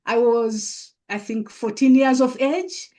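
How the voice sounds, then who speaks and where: A woman from East Africa with an East African accent, telling her own story as an interview guest in a halting, deliberate way, repeating phrases for emphasis. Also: a little high, a little mellow. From East Africa, Uganda and Kenya. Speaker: podcast guest, coach and mentor.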